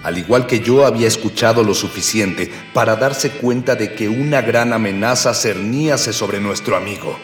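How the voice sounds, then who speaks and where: A man's voice: lowish voice, normal speed, hardly any pauses, natural voice. A man playing a solemn, florid storyteller, narrating a pulp space radio serial in a pompous, old-fashioned way. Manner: pompous, archaic, florid phrasing. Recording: echoey reverb with soft music.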